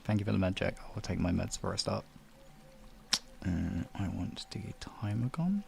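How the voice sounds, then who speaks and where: A man's voice: deep voice, sing-song, normal speed, gravelly, a little mellow, faint music behind. A man chatting casually and distractedly to his stream viewers in muttered, broken-up phrases while he sets things up. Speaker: live streamer, host.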